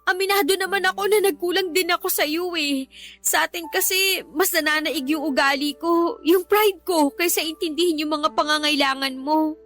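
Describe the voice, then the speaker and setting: A woman's voice: high-pitched, fairly bright tone. A woman playing a proud but desperate live-in girlfriend and mother in a radio drama, pleading emotionally. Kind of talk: Tagalog radio drama acting. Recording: faint music underneath.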